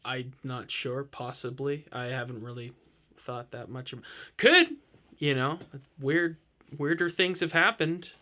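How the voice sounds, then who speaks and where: Brief: a man doing a noncommittal guest, bright-toned, mumbling and unsure. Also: mid-range voice, a little slow. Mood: hesitant. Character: unsure young male podcast host guest.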